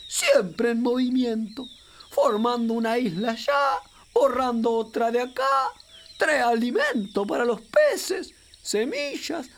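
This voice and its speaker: Chubby talking capybara for kids radio play, argentine rioplatense accent, super swoopy high voice, theatrical and excitable. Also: female voice, slight background noise, heavily acted. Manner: theatrical, exclamatory, explains patiently. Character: chubby furry talking capybara, excitable, knowing river guide. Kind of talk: children's radio drama acting.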